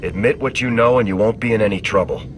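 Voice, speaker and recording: Actor as a firm, steady soldier. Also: male voice, fairly low pitch, slight background noise, natural voice. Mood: firm, calm. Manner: measured, direct, firm. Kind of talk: video game dialogue acting.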